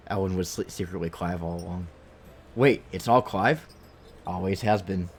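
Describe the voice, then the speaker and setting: Low-voiced man casually streaming a game. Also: normal speed, slight background noise. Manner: rambling, thinking aloud. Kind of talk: casual live gameplay commentary.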